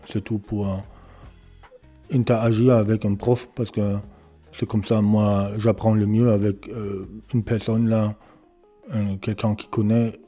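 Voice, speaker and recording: German man, non-native French with German accent, halting delivery, slow, low dark voice, home workshop setting. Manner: hesitant, searching for words with many fillers. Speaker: electronics repair beginner presenting his channel. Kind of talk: casual self-introduction and workshop tour.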